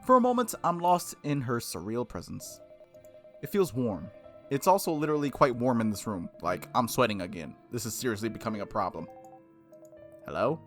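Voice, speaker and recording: A man's voice: medium pitch, sing-song, moderate pace, a bit bright, soft background music. A man playing and reading a visual novel aloud, with playful casual asides and the odd stumble over words. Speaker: gaming video host.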